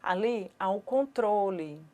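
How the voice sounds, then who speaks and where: A woman with a Brazilian Portuguese accent who voices a sign-language teacher's lecture for an online course. She speaks in short phrases broken by pauses. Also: mid-range voice, lively intonation, a little mellow.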